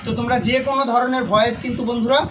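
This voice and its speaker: A man's voice: extremely high pitch, level tone, very fast, background noise. A man hosting an app tutorial, repeatedly addressing his viewers directly as he explains each step. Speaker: tutorial channel host. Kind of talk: scripted-sounding app tutorial narration.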